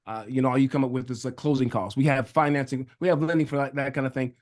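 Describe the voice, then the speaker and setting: A man speaking as a real estate coach and guest on a webinar. He is relaxed and repeats words as he goes, over a video call. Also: medium pitch, fast. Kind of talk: casual webinar talk.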